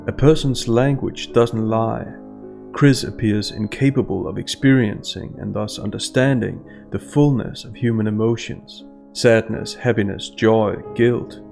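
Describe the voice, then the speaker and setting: Low, gravelly male analytical narrator. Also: a little mellow. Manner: measured, formal. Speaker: host analyst of statements. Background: instrumental music.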